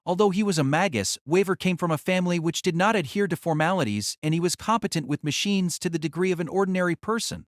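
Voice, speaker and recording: A man's voice: sits high, quick pace, hardly any pauses, no echo, studio quality. A man reading scripted novel narration for an audio drama.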